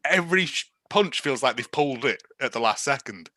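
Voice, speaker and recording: A British man with a bright, forceful voice and a mid-range pitch chats as a podcast co-host. He is sardonic and joking, commenting on a film in a casual way. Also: expressive, brisk.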